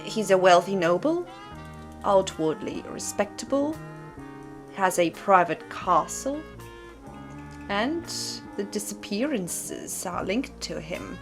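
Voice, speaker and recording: A woman's voice: medium pitch, sing-song, takes it slowly, music in the background. A woman hosting a commentary on disturbing books, speaking in a dramatic, conversational way straight to the viewer with rhetorical questions. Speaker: host of a disturbing literature channel. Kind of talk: casual scripted commentary to camera.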